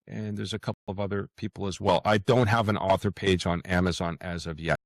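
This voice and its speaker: A man's voice: sits low, steady tone, fairly quick, dry recording, studio quality. A middle-aged man chatting casually as an interview guest, hesitant and often repeating his words. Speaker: fiction author, interview guest.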